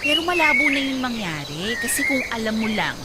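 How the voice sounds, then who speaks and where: A woman's voice: a little high, sing-song, brisk, very noisy. A woman playing a teasing, insecure older wife in a Filipino radio love-story drama, with a Filipino accent and playful banter. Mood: tender, insecure. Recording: music behind the voice.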